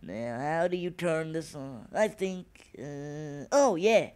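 A man's voice: fairly high pitch, takes it slowly, darkish tone, smooth. A man voicing an eager, overconfident twelve-year-old big brother in a cartoon, dramatic and self-assured. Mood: eager, confident. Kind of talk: cartoon voice acting.

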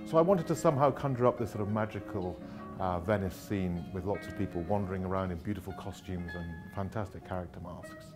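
A middle-aged composer with a very low voice explains his ideas articulately indoors. Music is clearly heard behind him. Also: fairly quick. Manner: articulate and descriptive. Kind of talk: interview. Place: room, indoors.

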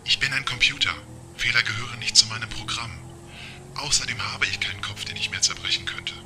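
A man's voice: on the low side, fairly quick, pushed and bright, faint music behind. A man playing a polite, literal-minded talking car computer in an amateur radio play, formal and precise. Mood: earnest, apologetic.

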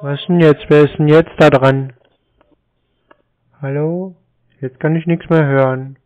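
A man's voice: fairly high pitch, clear, resonant tone. Male, twenties, dry and obtuse phone prankster, narrow telephone sound. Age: 20s. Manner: deadpan, terse, deliberately obtuse. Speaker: scambaiter taking scam calls. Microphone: phone call. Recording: narrow-band, dull phone-call audio.

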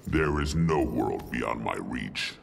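An actor playing an imperious alien god-tyrant in a video game, with gravelly, pompous, commanding menace. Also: male voice, lively intonation, takes it slowly, over loud music, full character voice. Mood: cold menace. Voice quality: gravelly, very deep.

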